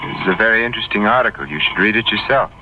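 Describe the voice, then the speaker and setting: An actor playing a polite, pedantic, quietly unsettling prisoner with precise speech in an old radio drama. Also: male voice, lowish voice, animated, rapid-fire, natural voice. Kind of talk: 1940s radio acting. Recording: muffled, noisy old radio recording.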